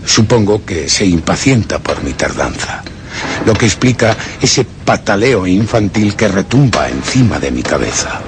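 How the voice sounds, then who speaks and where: A man's voice: lowish voice, sing-song, measured pace, echoey room, long reverb tail, background noise. An actor playing an anxious man trapped down a well in a suspense radio play, speaking in a dramatic, brooding way. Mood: brooding, uneasy. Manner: dramatic, brooding inner monologue rising to cries.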